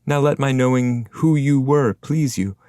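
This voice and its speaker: A man's voice: mid-range voice, a little slow, dark tone, clean tone, no echo, studio quality, pitched above the performer's own voice, close to an everyday voice. A man voicing a wise, dignified poet's shade who guides and teaches, in formal, declamatory verse from an epic story. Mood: courteous asking.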